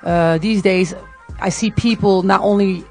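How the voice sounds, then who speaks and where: A woman with a Dutch accent and a gravelly edge, talking casually and hesitantly as an interview guest. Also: mid-range voice, steady tone, measured pace, darkish tone, faint music behind. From Netherlands, grew up in Tilburg. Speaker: music artist, interview guest. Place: radio studio.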